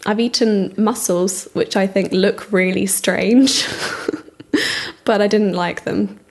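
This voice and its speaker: A woman's voice: mid-range voice, fairly even intonation, unhurried, a little mellow. A woman making simple personal remarks as an interviewee.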